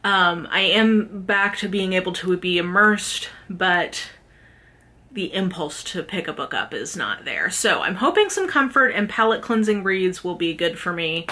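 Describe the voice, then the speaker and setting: A woman's voice: on the low side, lively intonation, a little slow, sharp tone. A woman talking informally to viewers in a chatty, slangy book vlog style, with lots of "like" sprinkled in. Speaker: book vlogger, host. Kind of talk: casual chat to camera, book review.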